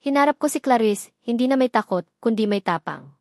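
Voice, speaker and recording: A woman's voice: a little high, expressive, a little fast, no echo, clean studio sound. A woman reading a scripted first-person romance story as narrator, in a dramatic storytelling manner.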